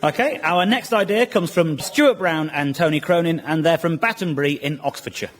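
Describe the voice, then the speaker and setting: A man's voice: a little high, moderate pace. A man presenting a comedy show on the radio, recorded live.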